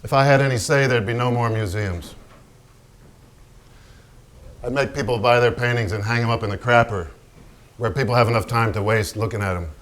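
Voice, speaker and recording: An actor playing a boozy, swaggering, profane genius painter in a theatrical staged reading, declaiming with crude, sardonic bite. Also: male voice, mid-range voice, quick pace, fairly bright tone, close to an everyday voice. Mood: crude, ranting.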